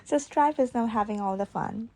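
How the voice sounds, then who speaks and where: A woman reading a storybook aloud in an informal, expressive way, pausing to explain and check that the listener is following. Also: fairly high pitch.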